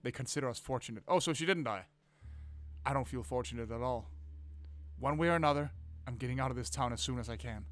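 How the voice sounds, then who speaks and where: A man hosting a game playthrough, reading narration aloud and commenting in a casual, expressive, sweary way. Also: mid-range voice, fast talker, pauses often, dry recording. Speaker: gaming video host. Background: faint game music.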